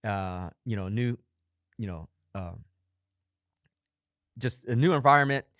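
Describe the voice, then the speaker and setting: A man's voice: fairly low pitch, expressive, slow, fairly bright tone, no echo. A man in his forties hosting a podcast in a studio, telling stories with upbeat enthusiasm in a motivational monologue. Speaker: podcast host.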